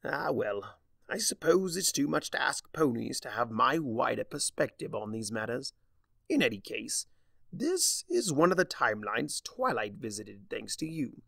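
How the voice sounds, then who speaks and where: A man's voice: mid-range voice, gravelly, a bit bright, dry recording, studio quality, heavily acted. A man voicing a mocking, smug chaos spirit in a storybook reading, with a drawling, condescending manner. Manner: drawling, sardonic, grandly condescending.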